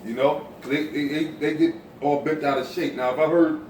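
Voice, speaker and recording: A man's voice: on the high side, steady tone, a little mellow, a little hiss or hum. Casual male group chatter, stop-start delivery, roomy and distant. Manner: halting, repeats himself. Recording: distant mic in an echoey room.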